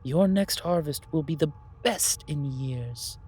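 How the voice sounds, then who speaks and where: A man playing a calm, wise, otherworldly keeper of magic in a fantasy audio roleplay, speaking formally and ceremoniously. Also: fairly high pitch, slow, breathy edge, heavily acted. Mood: reassuring.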